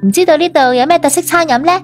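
A woman playing a cool, probing young female executive in an audio story, quietly jealous. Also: high voice, rapid-fire, soft background music, lightly acted. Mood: feigned casual. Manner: pointed questioning, feigned surprise.

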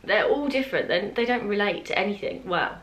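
A woman's voice: mid-range voice, animated, a little fast, fairly bright tone, a little hiss or hum, rough recording. A woman in her twenties with a British accent, chatting informally to the camera as a vlogger, drifting into little asides. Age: 20s. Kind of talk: casual chat to camera, unboxing. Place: open-plan living room at home, indoors.

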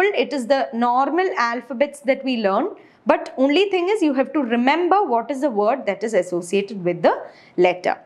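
A woman in her twenties with an Indian accent giving an instructional lecture, explaining step by step as a teacher. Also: sits high. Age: 20s. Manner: explanatory, rhetorical questions to structure points. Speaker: aviation lecturer.

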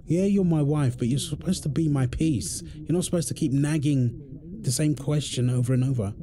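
A man's voice: a little high, normal speed, a crowd in the background, close to an everyday voice. A man playing a tired, irritable firefighter husband in an intimate roleplay audio drama. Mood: frustrated, pleading. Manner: weary sighs, snappy, then apologetic.

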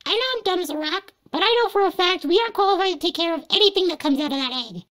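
An actor voicing a cocky, dim teenage cartoon boyfriend in an animated game cutscene, brash and slangy. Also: extremely high pitch, very fast. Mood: exasperated, doubtful.

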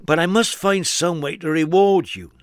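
A man's voice: fairly high pitch, moderate pace, dry recording, clean studio sound, pitched above the performer's own voice, close to an everyday voice. A man voicing a worried, flustered male farmer in a storybook, anxious and pleading. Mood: grateful. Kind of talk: storybook character voice.

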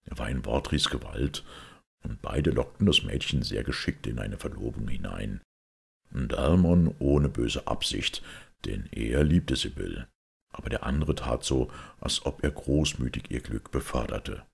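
Shrewd priest sleuth read by a man, audiobook: very low, raspy, grave and measured. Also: animated, heavily acted. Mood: grave explaining. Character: calm, shrewd priest detective explaining a crime.

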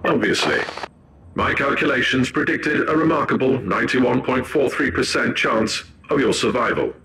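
A man's voice: medium pitch, moderate pace, sharp tone, echoey room, a little hiss or hum, natural voice, poor audio. A man voicing a smug, calculating tech priest advisor in a video game, speaking with pedantic, condescending precision. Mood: smug, businesslike.

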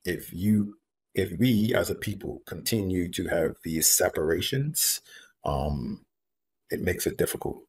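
A man in his thirties from Bermuda, a homeless advocate, talking in an earnest, measured way over a video call from home and repeating key phrases for emphasis. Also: deep voice, a little hiss or hum. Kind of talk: reflective interview talk. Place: room at home. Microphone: video call, laptop camera.

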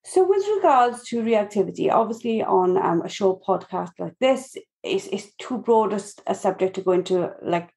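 A Welsh woman hosting a podcast, asking relaxed, chatty questions with a few fillers. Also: medium pitch, normal speed, vocal fry, few pauses. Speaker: podcast host. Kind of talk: casual podcast interview.

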